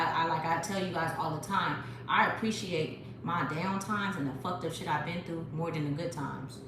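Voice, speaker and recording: A woman hosting a spiritual livestream, talking straight to her viewers in a profane, preachy way on a phone. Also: sits low. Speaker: livestream host. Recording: very echoey room with noise.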